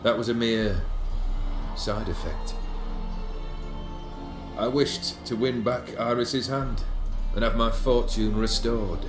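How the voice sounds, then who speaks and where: A man's voice: on the low side, reverberant. A man playing a proud, melancholy, world-weary nobleman in a video game, with an eloquent, formal and brooding delivery.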